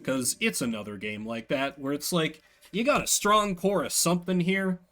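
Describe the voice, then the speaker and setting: A man's voice: a little high, normal speed, bright, forceful tone. A man chatting casually as he streams a game, rambling through opinionated, self-deprecating asides. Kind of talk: casual chat while playing a game. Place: indoors at a desk.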